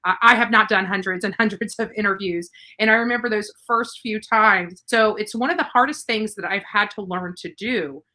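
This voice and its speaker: A woman's voice: medium pitch, normal speed, few pauses, pushed and bright. A woman commenting on a true crime case in a casual, chatty way, with fillers and restarts.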